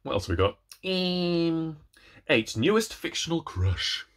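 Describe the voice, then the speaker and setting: A man in his thirties chatting casually to camera as a book vlogger, playful, rambling and animated, with self-deprecating asides. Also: on the high side, unhurried, a little hiss or hum. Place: small room at home with bookshelves.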